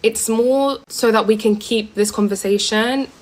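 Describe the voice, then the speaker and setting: A woman's voice: on the high side, steady tone, measured pace. A British woman who runs a small business, talking casually in a social media video. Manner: casual, chatty, laughs nervously.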